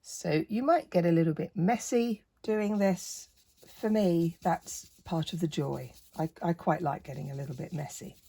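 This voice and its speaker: A woman with a croaky voice, chatting casually through a painting tutorial and gently encouraging the viewer. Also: lowish voice, moderate pace. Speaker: art tutor.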